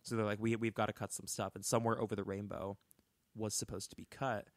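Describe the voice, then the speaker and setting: A young man co-hosting a podcast, telling a story in a chatty, animated way with lots of "like" and restarts, on a close studio mic. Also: lowish voice, fast talker, no room sound. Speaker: podcast co-host. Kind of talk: casual storytelling chat.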